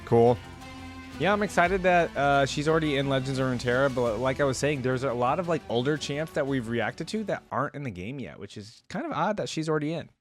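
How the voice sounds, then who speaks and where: A man giving casual reaction commentary in an informal way, as if thinking out loud. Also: mid-range voice, animated, a little fast. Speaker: reaction video host. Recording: music playing behind the voice. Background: game music and character voice lines.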